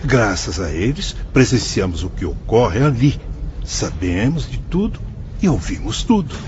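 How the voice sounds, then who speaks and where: A wise male spirit guide with a Brazilian Portuguese accent, speaking in measured, patient explanations in a radio drama. His pitch rises and falls in a lively way. The recording sounds dull and muffled. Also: a little hiss or hum. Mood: patient, instructive. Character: calm, wise adult male spirit instructor.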